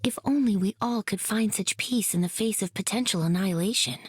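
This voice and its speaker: An actress voicing a gentle spiritual woman in a video game, speaking softly with wonder. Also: medium pitch, a little fast, husky, dry recording, clean studio sound, natural voice.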